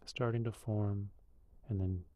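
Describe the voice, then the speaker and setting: Calm, low-voiced male meditation guide. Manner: calm, unhurried, loose and conversational with fillers.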